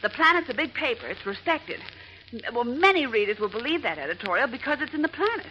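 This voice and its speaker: A determined woman newspaper reporter in an old radio drama. Her voice is high and crisp-edged, agitated and dramatic. A light hiss sits on the vintage recording. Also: sing-song, quick pace, bright, forceful tone, lo-fi sound. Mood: urgent, indignant. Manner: urgent, dramatic. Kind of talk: 1940s radio acting. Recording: hissy 1940s radio recording.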